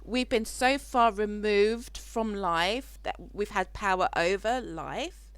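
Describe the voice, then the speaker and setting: A woman's voice: medium pitch, takes it slowly, clean tone. A woman from Africa hosting a podcast interview, speaking in a reflective, searching way that trails off mid-thought. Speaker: podcast host.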